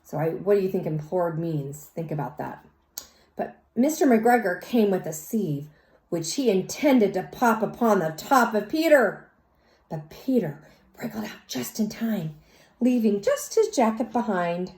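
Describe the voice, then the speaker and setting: A woman reads a children's story aloud in an expressive, teacherly way, speaking as if to young listeners. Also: medium pitch. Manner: teacherly, pauses to question and comment. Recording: roomy, echoey sound.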